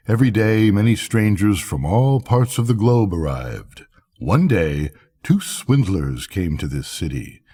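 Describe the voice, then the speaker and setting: A man's voice: fairly low pitch, warm tone, husky. An older man reading a bedtime story expressively, as a storyteller.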